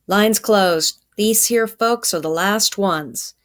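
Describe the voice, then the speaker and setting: A woman's voice: mid-range voice, slow pace, lightly acted. A woman voicing a stern, threatening man who guards a food line in a children's storybook, scolding in folksy, ungrammatical speech. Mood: curt. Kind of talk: children's storybook read-aloud.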